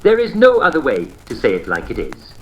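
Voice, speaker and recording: Vintage propaganda broadcaster, played by a man: avuncular, mock-genteel, patriotic, very animated intonation, clear background noise. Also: medium pitch, low-quality recording. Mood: scornful, pompous. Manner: theatrical, mock-genteel, deliberate pauses. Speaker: radio host. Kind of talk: vintage radio propaganda narration.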